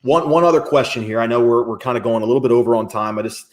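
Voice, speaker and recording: A man's voice: mid-range voice, very fast. Easygoing male talk-show host. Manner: friendly, easygoing reactions. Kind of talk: casual interview chat.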